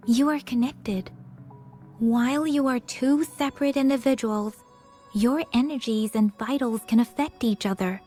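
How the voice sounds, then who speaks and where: A high-pitched, helpful young woman from a video game. She explains things patiently and in measured phrases, with soft music playing underneath.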